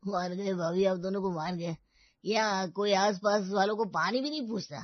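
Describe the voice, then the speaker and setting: A woman's voice: medium pitch, moderate pace, no echo, highs cut off, natural voice. A woman voicing a teasing, jovial man in a family story, in a jokey and hearty manner. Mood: hearty, appreciative.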